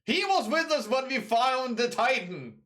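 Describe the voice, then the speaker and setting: A man's voice: very high voice, sing-song, measured pace, bright, forceful tone. A man hosting a casual let's play, chatting, laughing and reading game text aloud. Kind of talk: casual gameplay commentary. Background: game music.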